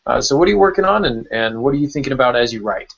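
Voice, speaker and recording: A man's voice: mid-range voice, lively intonation, very fast, vocal fry. A man hosting a podcast roundtable in a casual, conversational way over an online call. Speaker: podcast host. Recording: dull lo-fi online call audio.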